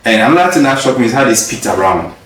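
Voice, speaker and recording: A man's voice: mid-range voice, very animated, fast talker, a bit bright, very echoey, distant mic, low-quality recording. A man from Ghana, a guest in a casual interview, talking earnestly and repeating words for emphasis. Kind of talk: casual conversation.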